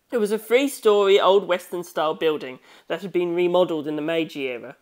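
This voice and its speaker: A narrator reading a novel aloud as scripted audiobook narration. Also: mid-range voice.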